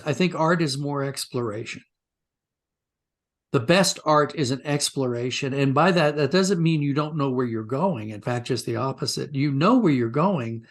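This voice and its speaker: A man's voice: on the high side, expressive, moderate pace. A man being interviewed as a writer, talking in a reflective, discursive way and often rephrasing mid-sentence. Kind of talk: conversational interview, reflective storytelling.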